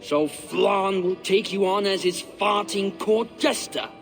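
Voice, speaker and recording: An actor playing a mocking, defiant seventeen-year-old prince in a video game. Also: male voice, high voice, slow, creaky, soft background music, full character voice. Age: teens.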